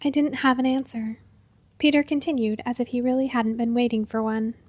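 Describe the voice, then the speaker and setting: A woman narrating a literary short story in a measured, expressive storytelling manner. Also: a little high.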